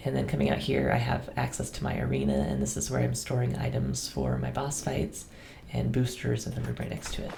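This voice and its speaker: A woman still getting over a cold talks through her game world in a raspy, deeply low voice full of gravel. Her tone is dark and mellow, and she chats along easily with soft ums. A faint hiss sits behind her. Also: fairly quick. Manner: relaxed, chatty, with frequent ums and soft laughs. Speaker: gaming channel host.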